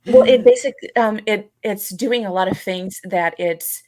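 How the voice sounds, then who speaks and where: A woman co-hosting a podcast, explaining her points in a halting way and repeating phrases as she thinks them through. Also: medium pitch, darkish tone, dry recording. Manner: halting, repetitive as thoughts are worked out. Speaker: co-host of a live podcast. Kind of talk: explanatory discussion.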